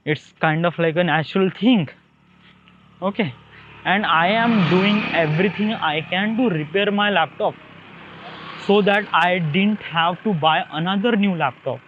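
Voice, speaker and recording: Through a thin, phone-line-like recording comes a young man with an Indian accent. His voice sits fairly high for a man. He talks in a casual, wandering monologue, often hesitating and repeating words as he looks for the next one. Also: a little slow, background noise. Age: young adult. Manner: hesitant, repetitive, searching for words. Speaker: solo podcaster. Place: outdoors beside a highway, walking.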